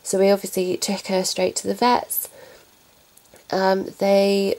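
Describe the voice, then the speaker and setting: A young woman vlogging about her pets, talking softly and haltingly while getting over a cold. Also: medium pitch, completely flat delivery, low-quality recording. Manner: soft, halting, subdued. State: recovering from a cold. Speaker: pet vlogger. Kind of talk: casual talk to camera.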